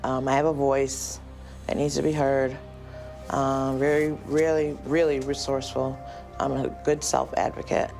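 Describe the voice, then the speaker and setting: A woman in her forties tells her story in a documentary interview with a gravelly, fry-laden voice. She hesitates often and sounds emotional. Soft music sits under her voice. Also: very deep voice, level tone. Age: 40s. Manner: emotional, halting with ums. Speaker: jail inmate awaiting trial.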